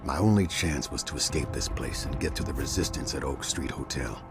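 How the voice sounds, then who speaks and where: An actor playing a grim, defiant American football player, narrating a game story in a brooding, hard-boiled way. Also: male voice, very deep voice, expressive, fairly quick, loud music behind the voice. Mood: grim resolve. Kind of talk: scripted noir-like game narration.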